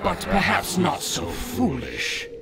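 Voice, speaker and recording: An actor playing a proud, weary undead Egyptian general in an audio drama, speaking formally and imperiously. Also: male voice, high voice, wildly swooping pitch, vocal fry, exaggerated voice. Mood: solemn, at peace. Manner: slow, imperious, formal archaic speech. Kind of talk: radio adventure drama acting. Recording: loud music under the voice.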